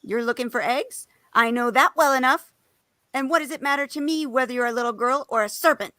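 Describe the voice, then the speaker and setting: A woman's voice: high voice, brisk, pushed and bright, no echo. A woman voicing a frantic, indignant mother pigeon in a storybook live read, scolding shrilly and with exasperation. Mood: suspicious, scolding. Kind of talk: live reading of an abridged classic story.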